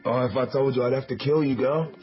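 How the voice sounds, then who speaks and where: An actor playing a flashy, cocky small-time drug dealer, brash and swaggering, in a radio drama. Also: male voice, medium pitch. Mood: flirty. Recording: dull audio under loud music.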